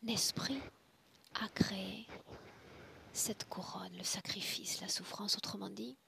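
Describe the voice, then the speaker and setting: A woman guiding a trance session in a solemn, deliberate, commanding way, often dropping to a breathy hush. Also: medium pitch, fairly even intonation, in a whisper. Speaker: spiritual hypnosis session guide. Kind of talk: guided trance session, slow solemn address.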